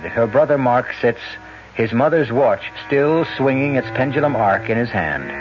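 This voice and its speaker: Dramatic, swooping male suspense narrator. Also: mid-range voice. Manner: dramatic, ominous, deliberate phrasing. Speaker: radio drama host and narrator. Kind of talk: 1970s radio suspense narration. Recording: muffled vintage radio, music underneath.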